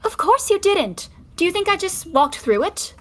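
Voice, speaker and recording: Very high, exasperated prim sister. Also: female voice, very animated, normal speed, fairly bright tone, full character voice. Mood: huffy, scolding. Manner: huffy, scolding, clipped retorts. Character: exasperated, prim, impatient sister of a carefree bather. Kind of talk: theatrical 1920s stage comedy acting.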